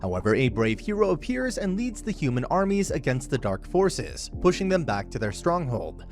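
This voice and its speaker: Man, scripted recap narration, matter-of-fact, slightly high voice, faint music behind. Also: big pitch swings, moderate pace, a little mellow. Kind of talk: scripted plot summary narration.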